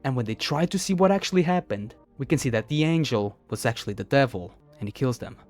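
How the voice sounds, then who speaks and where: A man's voice: mid-range voice, lively intonation, quick pace, a little mellow, soft background music. A man reading scripted narration, retelling stories as the narrator of a video. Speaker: narrator of a horror video countdown. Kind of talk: scripted narration, storytelling recap.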